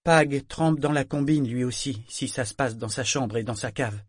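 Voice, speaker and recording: Jeune marin femme jouée par un homme, voix plus aiguë, sèche et lasse, articule avec soin. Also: male voice, mid-range voice, moderate pace, breathy edge, no room sound, studio quality, pitched above the performer's own voice, lightly acted. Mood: weary. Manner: careful, weary, clipped delivery. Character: young woman sailor disguised as a boy, dazed, curt.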